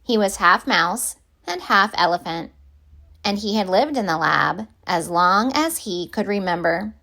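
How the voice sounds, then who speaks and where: Slow-paced woman reading a storybook. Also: medium pitch, expressive, vocal fry, sharp tone. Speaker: picture book reader. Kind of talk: read-aloud storytelling for children.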